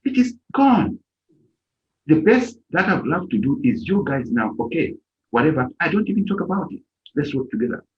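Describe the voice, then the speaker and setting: A man's voice: on the high side, very animated, normal speed. Middle aged zambian guy giving a fired up speech, voice jumping all over, kinda muffled lo-fi audio. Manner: emphatic, rising to near-shouts on key points. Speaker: organization leader giving a speech. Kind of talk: impassioned speech at a live-streamed event. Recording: dull lo-fi stream audio.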